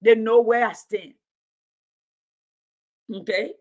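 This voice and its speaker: Lady late 50s preaching on a livestream, kinda high voice, keeps repeating lines to build up. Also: fairly even intonation, slow, highs cut off. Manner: preaching, repetitive rhetorical build-ups. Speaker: commentator and Pan-Africanism teacher. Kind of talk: impassioned livestream commentary.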